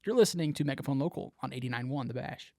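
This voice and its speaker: A man's voice: mid-range voice, expressive, racing, very noisy. A man presenting a local music radio show in a casual DJ style.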